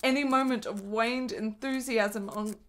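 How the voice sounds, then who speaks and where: A woman's voice: a little high, fairly even intonation, a little slow, close to an everyday voice. A woman playing a sickly, desperate, intense young woman in a period radio drama, with formal, literary, emotionally fraught diction. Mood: wistful, confessional.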